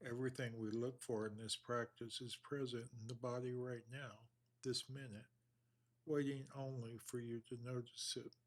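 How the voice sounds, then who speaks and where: A man leading a guided body scan meditation in an unhurried, measured, soothing manner with long pauses. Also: medium pitch, level tone.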